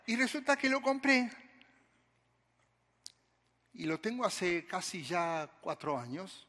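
An Argentine man, a pastor, preaches in a high, bright voice that leaps up and down with lively energy. He speaks slowly and deliberately and turns often to his listeners. The sound carries a long reverb, as in a large hall. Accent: Argentine Spanish. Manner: animated, storytelling preacher who addresses the congregation directly. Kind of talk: sermon.

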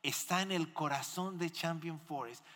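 A man's voice: a little high, normal speed, fairly bright tone. A man speaking as a pastor and host in church, exhorting the congregation and putting questions to them. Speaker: pastor hosting a missions guest in church. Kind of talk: animated church interview and preaching. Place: large indoor church hall. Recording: echoey hall with long reverb.

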